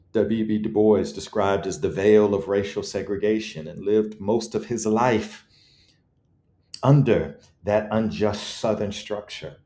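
A man's voice: on the low side, mellow. A man speaking as an interview guest, reflective and eloquent, with emphatic, preacherly storytelling and rhetorical repetition. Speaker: guest author.

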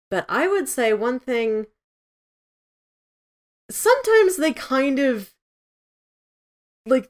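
Woman chatting casually, medium-pitched voice. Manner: informal, peppers talk with "like". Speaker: podcast co-host. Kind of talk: casual review chat.

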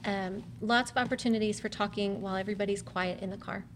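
A woman's voice: medium pitch, steady tone, fast talker. A woman speaking as a mother and guest presenter, explaining things in simple family talk. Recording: reverberant room with background noise.

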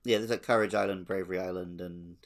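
A man's voice: low-pitched, steady tone, fast. A man co-hosting a video game podcast in a casual, wry and joking manner. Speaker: podcast co-host.

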